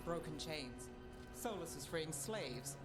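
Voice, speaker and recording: Low-voiced, observant magic investigator. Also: female voice, lively intonation, slow. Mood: focused. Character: serious, observant female detective of magic. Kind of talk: dramatic video game acting. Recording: loud game music behind.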